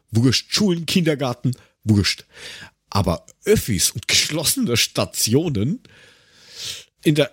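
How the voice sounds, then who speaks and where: A man's voice: medium pitch, a bit bright, dry recording. Austrian man ranting, dry close sound. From Lower Austria. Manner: ranting, sarcastic, crude joking. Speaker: podcast co-host. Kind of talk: casual chat.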